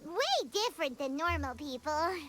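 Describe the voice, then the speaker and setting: A woman voicing a small, excitable, childlike fairy companion in a video game, with a squeaky voice and a bubbly, bossy, chatty manner. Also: moderate pace. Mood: curious, chatty. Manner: bubbly, bossy, speaks of self in third person. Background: game music.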